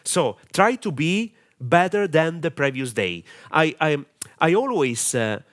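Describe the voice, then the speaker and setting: A man's voice: fairly high pitch, very animated, measured pace, fairly bright tone. A man from Italy with an Italian accent, giving a camera-confidence lesson as a marketing mentor, direct and earnest with many hesitations. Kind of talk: camera-confidence training talk.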